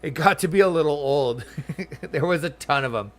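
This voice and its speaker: A man's voice: fairly high pitch, moderate pace. A man doing casual game playthrough commentary, with wry, joking asides. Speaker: gaming video host. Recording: music under the voice.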